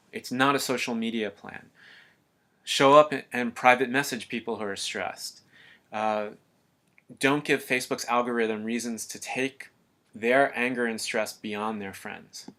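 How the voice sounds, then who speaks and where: A man giving a calm, earnest scripted voice-over narration for an explainer video. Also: medium pitch, unhurried.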